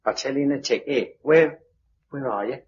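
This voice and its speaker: A man's voice: medium pitch, moderate pace, lo-fi sound, slight background noise, natural voice. An actor playing a cheerful, chatty working-class henchman with a Cockney accent in a radio drama. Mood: matey, soothing.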